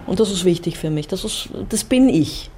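A woman's voice: fairly low pitch, animated, normal speed, warm tone, a little hiss or hum. A woman speaking personally and passionately in short emphatic statements. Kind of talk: personal, heartfelt talk.

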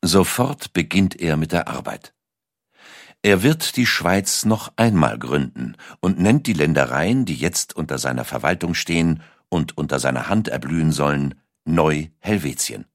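A man narrating a scripted documentary story in a measured way, with dramatic emphasis. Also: very deep voice, expressive, no room sound, clean studio sound. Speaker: audiobook narrator.

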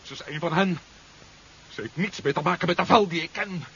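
An actor playing an emotional, determined resistance hero in a 1970s radio drama, speaking in an impassioned, dramatic way. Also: male voice, fairly high pitch, a little fast, gravelly, a bit bright. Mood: shocked, bitter. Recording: muffled, hissy 1970s radio recording.

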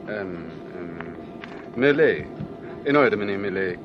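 An actor in a Dutch radio play playing an efficient airline steward, formal and deferential. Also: male voice, low-pitched, animated, slow pace, highs cut off, music in the background. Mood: courteous, brisk. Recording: loud aircraft engine noise behind.

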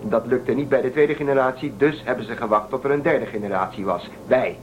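A man's voice: medium pitch, fairly quick, slight background noise, natural voice, rough recording. A man playing a defiant, suspicious spaceship crewman in a radio play, urging and persuading. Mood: insistent. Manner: urgent, persuasive, suspicious of authority.

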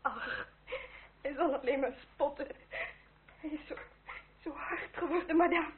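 High-voiced young noblewoman, tinny recording. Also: a little slow. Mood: subdued sorrow. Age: young adult. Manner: polite, earnest, emotional. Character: young French noblewoman, earnest, tender, easily distressed. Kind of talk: period radio drama acting. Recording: tinny old radio play recording.

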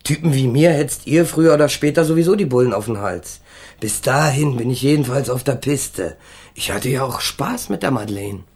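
A man's voice: medium pitch, animated, moderate pace, darkish tone, breathy edge. Brash, slangy young male ex-convict. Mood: callous. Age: young adult. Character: defiant young ex-convict, cynical, crude, remorseless. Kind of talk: German radio crime drama acting.

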